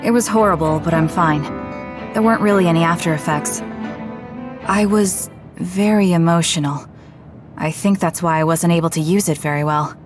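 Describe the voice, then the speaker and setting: A woman voicing a cool, blunt young heroine, lowish voice. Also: soft background music, lightly acted. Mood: reflective. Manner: measured, blunt, matter-of-fact. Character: calm, blunt young woman, soldier and protagonist. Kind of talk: anime game cutscene acting.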